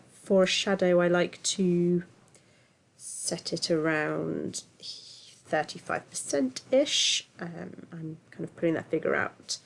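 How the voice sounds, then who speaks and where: A woman, her voice thick and stuffy from a cold with a gravelly edge. She talks through a software tutorial in a chatty, easygoing way, full of ums and little self-deprecating asides. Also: lowish voice. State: congested from a cold. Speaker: tutorial host. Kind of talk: casual screen-recorded software tutorial.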